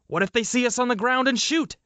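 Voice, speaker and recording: A man's voice: extremely high pitch, quick pace, bright, forceful tone, clear, resonant tone, no room sound. A man playing a cautious, argumentative politician on the run, emphatic and questioning, in an audio drama. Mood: worried, irritated.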